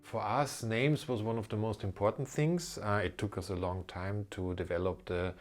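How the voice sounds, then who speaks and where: Man, low voice, conversational podcast host, slightly halting delivery with repeated words. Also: fairly even intonation, soft background music. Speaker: podcast host, corporate lawyer.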